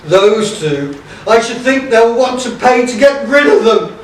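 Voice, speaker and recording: A man's voice: extremely high pitch, expressive, measured pace. A man acting a scheming, pompous sheriff villain in a theatrical pantomime style. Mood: smug. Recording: echoey hall, distant mic, faint noise.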